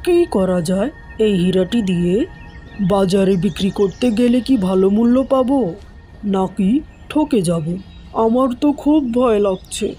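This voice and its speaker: A woman's voice: medium pitch, normal speed, dark tone, soft background music, lightly acted. A woman voicing a poor, greedy young village man in a fairy-tale cartoon, exclaiming and talking to himself. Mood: anxious. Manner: self-talking, exclaiming, plaintive.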